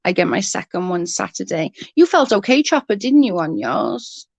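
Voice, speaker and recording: A woman's voice: mid-range voice, very animated, moderate pace, creaky, dry recording. A woman with a blocked-up, snotty voice that is starting to go, chatting casually with viewers on her livestream and greeting them by name. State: congested, coughing, voice going. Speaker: livestream host. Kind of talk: casual chat with live viewers.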